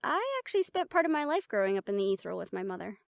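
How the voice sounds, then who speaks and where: A woman voicing a playful, chatty half-siren, half-banshee in an intimate audio roleplay, talking casually and haltingly to a listener. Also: mid-range voice, sing-song, vocal fry, no room sound, studio quality. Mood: wistful, chatty.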